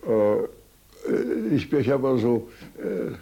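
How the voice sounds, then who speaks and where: An older Swiss man whose first language is French tells his life story to an interviewer. His voice is dark and husky, and he rambles through anecdotes with many hesitations and restarts. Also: medium pitch, sing-song, very slow. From Switzerland. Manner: rambling, anecdotal, frequent hesitations and self-corrections. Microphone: recorded on tape.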